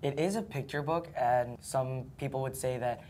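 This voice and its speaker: A man's voice: medium pitch, normal speed, dark tone, slight background noise. Earnest eighth-grade boy, searching for words. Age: young teen. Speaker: student. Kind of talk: classroom interview.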